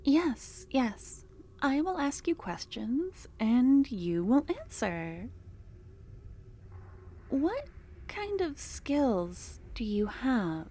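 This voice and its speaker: A young woman voicing an obsessive, possessive female cultist in an ASMR roleplay. She talks in a soft, close murmur that drops into whispers, and her tone is doting and unsettling. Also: sits high, big pitch swings, very slow, exaggerated voice. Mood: curious, doting.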